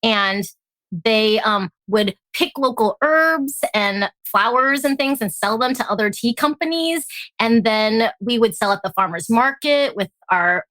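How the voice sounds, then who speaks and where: A woman raised in Alaska and born in Hong Kong, a tea company founder chatting as a guest in an interview, lively and laughing often. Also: a little high, a little slow, no room sound. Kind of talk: casual interview chat.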